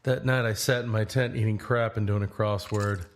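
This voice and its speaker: A man's voice: lowish voice, steady tone, moderate pace, close to an everyday voice. A man playing a laid-back young traveler, telling his story in a plain, conversational first-person narration. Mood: easygoing, reflective. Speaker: narrator and lead. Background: guitar music.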